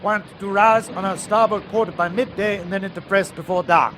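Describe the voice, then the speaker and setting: A gruff, defiant submarine captain for a wartime radio play. His voice sits high, with clipped, emphatic military orders. A heavy engine drone runs behind him. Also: fairly quick. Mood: brisk. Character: commanding German U-boat captain, gruff, defiant, resourceful. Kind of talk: wartime radio drama acting. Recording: radio drama with loud engine noise.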